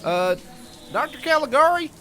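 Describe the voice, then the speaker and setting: An actor playing a folksy, earnest cowboy waste shoveler with a plain, slow-witted, colloquial way of talking. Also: male voice, very high-pitched, very animated, loud music behind the voice. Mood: puzzled, matter-of-fact. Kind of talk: audio drama acting. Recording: loud machinery and rain-like noise.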